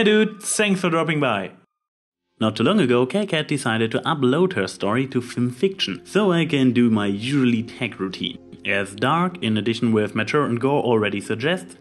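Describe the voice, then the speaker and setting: A man hosting a review, narrating from a script. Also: medium pitch. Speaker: host, fiction reviewer.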